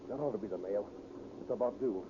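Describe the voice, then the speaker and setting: An actor playing a brash, ruthless young escaped convict in a 1930s radio crime drama, curt and bossy with slangy talk. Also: male voice, on the low side, quick pace, close to an everyday voice. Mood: commanding. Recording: dull, noisy old 1930s radio recording.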